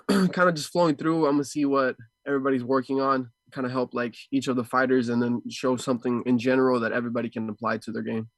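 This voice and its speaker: A man with a mid-pitched voice talks in a casual, reflective way, like a fighter being interviewed. He feels his way through sentences, repeating words as he searches for the next one. The sound is dry, with very little room in it. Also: flat delivery, quick pace, no room sound. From Ecuador and Houston, USA. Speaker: martial arts fighter, interview guest.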